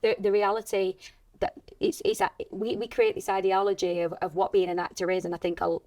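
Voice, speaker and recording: A woman's voice: mid-range voice, level tone, a little fast, darkish tone. A woman, an actress talking candidly and informally in a podcast conversation. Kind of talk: casual chat.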